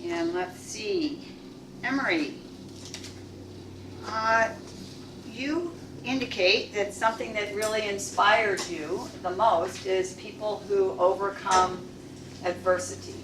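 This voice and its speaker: A woman's voice: medium pitch, slow pace. A woman in her sixties or older, hosting a club meeting and asking chatty, joking questions. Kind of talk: casual onstage interview. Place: meeting room. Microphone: far camera. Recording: echoey room, far off, background noise.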